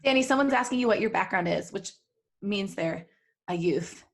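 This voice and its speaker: Woman podcast host, mid-pitched, playful, keeps the chat moving with questions. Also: animated, normal speed, vocal fry. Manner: playful, steering the conversation with questions. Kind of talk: casual comedic chat among friends. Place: recorded remotely over a video call.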